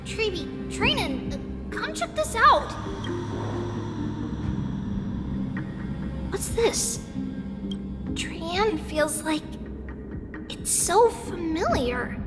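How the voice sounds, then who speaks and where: A small, childlike game girl with an extremely high voice. She speaks slowly and earnestly, in simple phrases, in a reverberant space with music clearly behind her. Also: big pitch swings, exaggerated voice. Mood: curious, bewildered. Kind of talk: video game voice acting.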